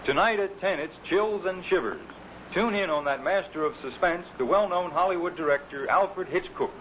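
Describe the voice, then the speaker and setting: A male announcer with a slightly high voice reads in old radio style. The recording is dull and faintly noisy. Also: moderate pace.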